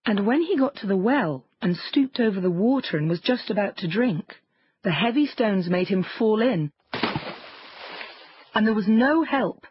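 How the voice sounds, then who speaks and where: A woman narrating a children's fairy tale audiobook in an expressive storytelling way. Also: medium pitch, a little slow, husky, dry recording, muffled recording.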